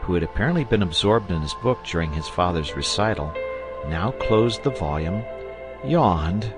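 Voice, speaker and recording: A man reads a children's story in a fairly low voice. His storytelling is expressive, with lively rises and falls, and soft music is present behind him. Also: normal speed. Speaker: audiobook narrator. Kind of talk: scripted children's story narration.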